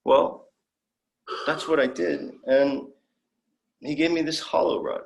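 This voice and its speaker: Actor as naive young alien. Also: male voice, on the high side, steady tone, takes it slowly, creaky. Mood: wondering, proud. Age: young adult. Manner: earnest, polite, wondering. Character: naive, eager young male alien traveller, earnest and bookish. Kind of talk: sci-fi audio drama reading.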